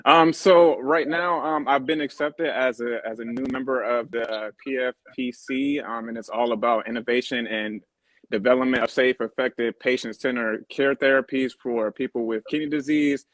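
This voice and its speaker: A man in his thirties, a guest in a casual interview, speaking haltingly with many fillers and restarts. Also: mid-range voice, steady tone, fairly bright tone, no room sound, poor audio. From born in Germany on a US military base. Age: 30s.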